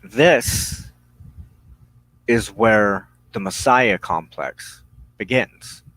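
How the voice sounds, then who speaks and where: A man giving a spiritual teaching monologue as a broadcast host, solemn and deliberate, speaking in halting phrases with pauses. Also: on the low side, lively intonation, fairly bright tone, no room sound, poor audio. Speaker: religious teacher hosting a broadcast on witchcraft.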